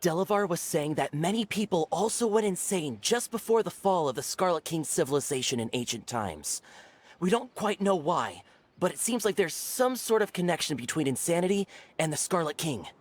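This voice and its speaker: An actor voicing a fervent, superstitious, easily cowed desert villager in a video game. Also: male voice, on the high side, expressive, normal speed. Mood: conspiratorial, fervent.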